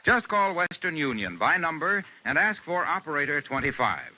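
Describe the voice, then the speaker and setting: An American male announcer sells with punchy emphasis in an old radio ad style. His voice sits a little high and swings widely in pitch, on a muffled vintage recording. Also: sing-song, moderate pace, slight background noise. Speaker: commercial announcer. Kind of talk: scripted 1950s radio ad pitch.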